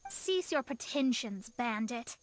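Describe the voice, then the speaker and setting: Young female astrologist, high-pitched, haughty, pompous, formal diction, video game character. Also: lively intonation, slow pace, slight background noise. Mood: stern, confident. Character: haughty, proud young female astrologist, self-styled master. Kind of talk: scripted video game voice acting.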